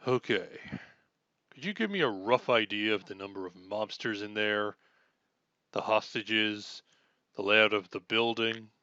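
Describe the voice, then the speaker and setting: Casting: male actor as noble sword-wielding superhero, bright forceful tone, formal and self-assured, dry slightly dull recording, audio drama. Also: fairly low pitch, a little slow, pauses often, dry recording. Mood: composed, businesslike. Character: earnest, chivalrous knight-like armored male superhero swordsman. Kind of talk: scripted audio roleplay acting.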